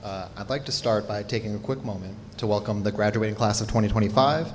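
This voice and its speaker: A man's voice: lowish voice, fast. A middle-aged man, a teacher, giving a formal introduction of a student on stage, praising him with the odd "uh" filler. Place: large hall or gym, on stage. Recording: echoey hall with faint noise.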